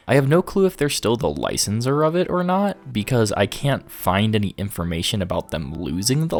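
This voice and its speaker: A man presenting a video essay as the host, speaking to viewers in a review style. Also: on the low side. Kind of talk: scripted review narration with occasional casual asides.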